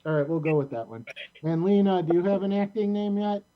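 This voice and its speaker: A middle-aged American man hosting a casual show in a rambling, halting way. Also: high-pitched, dull audio. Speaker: host of a community show.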